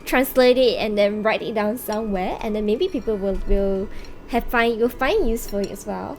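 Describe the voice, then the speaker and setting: A woman's voice: medium pitch, normal speed, darkish tone, slight background noise. A woman livestreamer chatting casually and playfully with viewers, using gamer slang and the odd swear word, often thinking aloud. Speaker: live game streamer. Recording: music behind the voice. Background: video game soundtrack.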